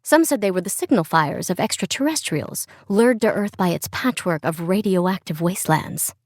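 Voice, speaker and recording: A woman reading scripted literary fiction as an audiobook narrator, with measured, expressive storytelling. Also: lowish voice, dry recording, studio quality. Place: dry studio.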